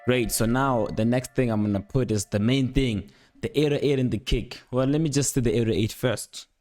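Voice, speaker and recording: A young man giving a casual, unscripted music production tutorial, rambling playfully in slangy speech with excited interjections. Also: medium pitch, normal speed. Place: indoor home studio at a computer. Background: loud instrumental beat and instrument sounds playing.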